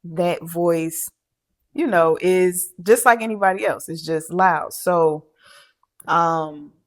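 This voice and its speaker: A middle-aged woman co-hosting a podcast in casual chat, talking haltingly with many fillers and restarts. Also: lowish voice. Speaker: podcast co-host.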